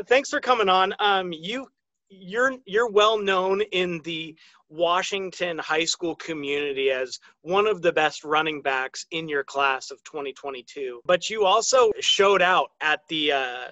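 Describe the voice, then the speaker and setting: A man's voice: fairly high pitch, unhurried, sharp tone, no room sound, lo-fi sound. An American man speaking as the host of a sports podcast, interviewing a guest.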